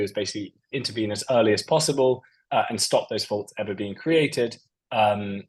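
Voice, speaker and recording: Low-voiced man pitching a product. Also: low-quality recording. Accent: non-Portuguese. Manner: loose and conversational, many fillers. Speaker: company presenter. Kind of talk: business presentation over slides.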